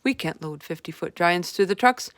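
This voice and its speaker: A woman's voice: fairly low pitch, lively intonation, normal speed, no room sound, clean studio sound, close to an everyday voice. A woman voicing a hot-tempered male army general in a storybook read-aloud. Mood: blustering. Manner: brusque, shouting, impatient.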